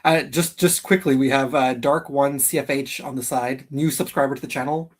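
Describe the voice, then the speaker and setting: A man's voice: mid-range voice, fairly even intonation, moderate pace, recorded from a distance. A man hosting a casual gaming livestream, talking enthusiastically and repeating phrases for emphasis. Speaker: host of a live gaming countdown show. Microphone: streaming call microphone.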